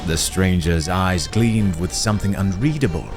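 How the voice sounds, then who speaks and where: A man's voice: deep voice, moderate pace, over loud music. A man giving a scripted, dramatic narration of a story.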